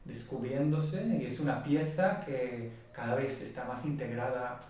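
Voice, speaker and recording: A calm, measured man speaks as a therapist guest on an interview show, explaining slowly and carefully. His voice sits at a middle pitch. The sound is distant and very echoey, like a mic set far away in a bare room. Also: a little hiss or hum. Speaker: body psychotherapist guest.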